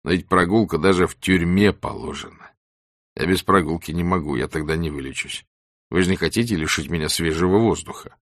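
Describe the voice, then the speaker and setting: A man voicing a gruff, wry, ailing ex-camp exile who tells things in animated colloquial speech. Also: low-pitched, brisk, dry recording, studio quality. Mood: persuasive, joking.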